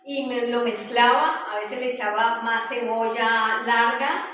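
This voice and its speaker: A woman's voice: on the high side, fairly even intonation, unhurried, sharp tone. Echoey, distant Colombian woman presenting. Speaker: presenter sharing her hometown memories. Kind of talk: informal spoken presentation. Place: echoey indoor room with a small audience. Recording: very echoey room, distant mic, faint noise.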